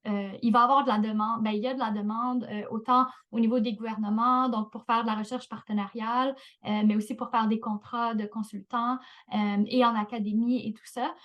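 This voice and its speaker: A woman's voice: a little high, little pitch movement, brisk, highs cut off. A woman speaking as a professor on a panel, hesitant with many fillers. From Canada. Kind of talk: panel discussion.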